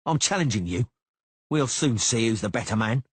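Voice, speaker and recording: A man voicing a brash, hotheaded young male duelist who jeers and shouts his challenges, with a husky voice. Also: medium pitch, lively intonation, moderate pace, no echo. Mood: shouting. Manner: loud, jeering, shouting challenges.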